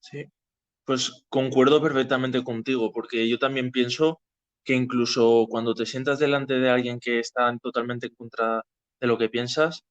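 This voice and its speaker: A man's voice: medium pitch, little pitch movement, normal speed, dry recording. Man, dry and slightly muffled sound. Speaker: host of a political audio discussion. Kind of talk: casual explanatory discussion. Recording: dry, slightly dull lo-fi audio.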